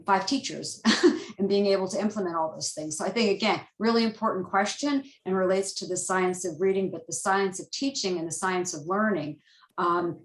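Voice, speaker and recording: Woman panelist in an echoey room. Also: lowish voice. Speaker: education researcher and panel speaker. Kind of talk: conversational panel answer. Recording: roomy, echoing webinar audio.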